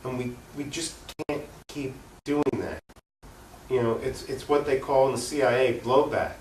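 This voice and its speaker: A man's voice: medium pitch, fairly even intonation, normal speed, a little mellow. An opinionated man making an unscripted political argument as an interview guest. He speaks emphatically and leans on rhetorical questions. The recording is roomy and echoey, picked up at a distance from the mic, with faint noise. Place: room recording for a cable access show.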